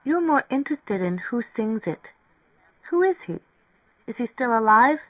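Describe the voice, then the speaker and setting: A man reading a story, voicing an eager, naive young woman who is excitable and full of curiosity. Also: very high voice, moderate pace, no echo, phone-quality sound, voice pushed up, heavily acted. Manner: excitable, inquisitive.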